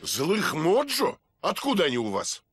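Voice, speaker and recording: A protective guardian spirit from a cartoon game, high and bright. It is bossy and stern, with very animated intonation. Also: male voice, a little slow, soft background music, exaggerated voice. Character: bossy, protective good male mask spirit. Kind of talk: cartoon video game dubbing.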